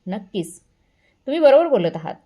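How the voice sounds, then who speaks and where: A woman voicing a humble older mother who sounds soft and innocent while staying quietly proud, in a family story. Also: fairly high pitch, very animated, a little fast, muffled recording. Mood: meek. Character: meek-seeming older mother, quietly proud, feigning naivety.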